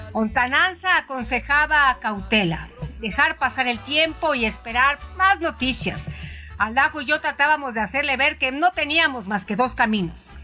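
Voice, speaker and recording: Woman reading a story for radio, high bright voice, soft music underneath. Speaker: narrator. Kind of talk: dramatized literary audio story narration.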